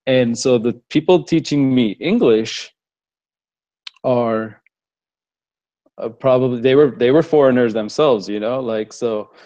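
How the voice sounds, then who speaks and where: A man's voice: medium pitch, a little slow, long pauses. A young man from Los Angeles with an Armenian-American accent, chatting loosely in a live Q&A and laughing often. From Los Angeles, USA. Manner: relaxed, rambling, laughs often. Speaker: fitness coach answering questions. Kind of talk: casual live Q&A. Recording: muffled lo-fi stream audio.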